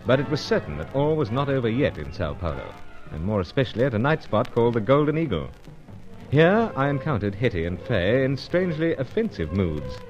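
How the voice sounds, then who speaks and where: An actor playing a suave, nonchalant British secret agent who narrates his adventures in a vintage radio serial. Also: fairly low pitch. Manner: nonchalant, unruffled. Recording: dull, lo-fi vintage radio recording.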